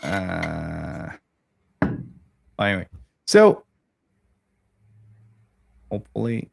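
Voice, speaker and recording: Halting man demoing code. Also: deep voice, vocal fry. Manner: halting, repeats words while working on screen. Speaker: guest developer. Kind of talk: casual tech chat while demoing code.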